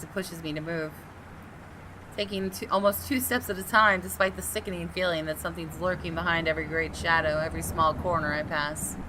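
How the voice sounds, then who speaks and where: Expressive lower-voiced woman reading a visual novel. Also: background noise. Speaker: gaming YouTuber, host. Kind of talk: dramatic read-aloud of a visual novel with casual commentary. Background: game music.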